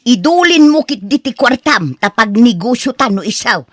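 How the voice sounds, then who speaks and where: A woman's voice: a little high, expressive, normal speed, no echo, clean studio sound. A woman with a Filipino Ilocano accent playing a practical, cautioning married woman in a radio drama.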